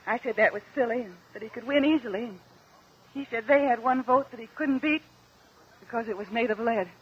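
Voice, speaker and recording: An American woman playing a deferential, halting widow giving testimony in a mid-century radio drama. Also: a little high, close to an everyday voice. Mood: subdued, earnest. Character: polite, hesitant widowed wife testifying on the witness stand. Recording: dull, lo-fi old radio recording.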